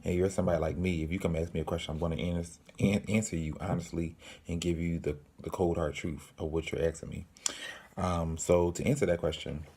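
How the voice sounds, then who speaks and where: A young man in his twenties with a very low, gravelly voice and a dark, mellow tone. He speaks flatly and earnestly, pausing often with ums as he answers viewer questions for his channel. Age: 20s. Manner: slow, halting, many ums, earnest. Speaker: channel host. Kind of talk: casual Q and A to camera.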